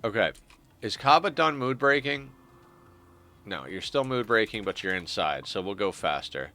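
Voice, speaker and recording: A man's voice: on the low side, big pitch swings, moderate pace, bright, forceful tone. Stuffed-up man musing over a game. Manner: rambling, self-correcting, wry. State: stuffed-up nose. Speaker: streamer. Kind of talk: casual gameplay commentary.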